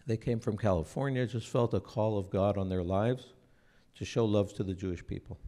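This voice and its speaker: A man in his forties, raised in Israel, giving an earnest, unhurried talk into a stand microphone on a church stage, often restarting his phrases. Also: fairly low pitch, dark tone. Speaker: speaker at a church breakfast. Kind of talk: sermon-like talk to an audience.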